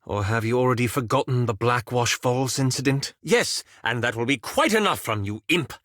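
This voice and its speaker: Man acting a fastidious, wryly expressive wizard. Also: mid-range voice, no echo, clean studio sound, lightly acted. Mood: dry, exasperated. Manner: formal, wry, understated phrasing. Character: reserved, fastidious, dryly sarcastic male wizard of scholarly temper. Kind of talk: scripted video game character dialogue.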